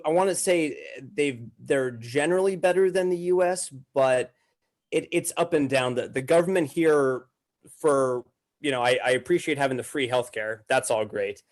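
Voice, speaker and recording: A man's voice: a little high, moderate pace. Young American man, casual podcast guest. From Massachusetts, United States. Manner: informal, slangy. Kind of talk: casual conversational storytelling.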